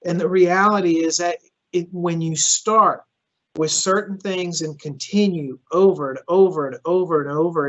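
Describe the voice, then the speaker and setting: Middle-aged greek-native guy, county manager at a forum, earnest, a bit high voice, kinda muffled audio. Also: a bit bright. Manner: earnest, repeats words at starts of phrases. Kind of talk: public forum answering questions.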